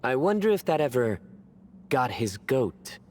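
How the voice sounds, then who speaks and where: A man voicing a self-assured young joker in a video game, deadpan and boastful with a theatrical touch. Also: medium pitch, measured pace. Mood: jokey, self-assured. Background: soft game music.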